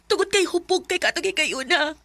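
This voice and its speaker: A very high, plaintive young woman, lovesick and long-suffering, pleading in a Bisaya accent. The delivery is emotional Filipino radio drama. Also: expressive, normal speed, sharp tone, full character voice. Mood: hurt pleading.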